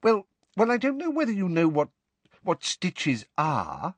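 Brief: narrator as sheepish wizard dad, imploring, stammering. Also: male voice, high-pitched, wildly swooping pitch, measured pace, no room sound, pitched above the performer's own voice, heavily acted. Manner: imploring, hesitant. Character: flustered middle-aged wizard father, sheepish.

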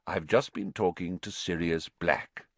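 A man voicing a calm, commanding old male headmaster with a courteous, measured and firm manner in an audiobook. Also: fairly high pitch, no room sound, pitched above the performer's own voice, full character voice.

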